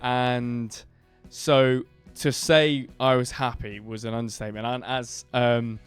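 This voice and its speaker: A man with a booming, smooth and resonant voice chats casually on a band podcast. He rambles through his story with lots of fillers and restarts. Faint music sits behind him. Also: level tone, slow pace, no room sound. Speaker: band member co-hosting a podcast. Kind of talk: casual chat.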